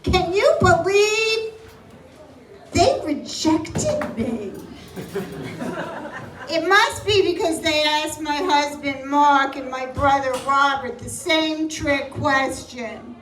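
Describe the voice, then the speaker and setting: High-voiced woman doing wry stand-up. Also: animated, slow pace, slight background noise. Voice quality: loud voice. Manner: wry, self-mocking storytelling. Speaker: stand-up comedian. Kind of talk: live stand-up set. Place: small live venue with audience. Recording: roomy echo, distant mic.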